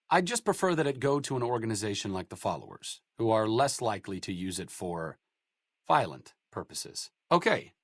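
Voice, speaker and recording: A man's voice: on the low side, no echo. An actor playing a dry-witted, idealistic but weary male doctor in a video game, speaking in a wry, sardonic way.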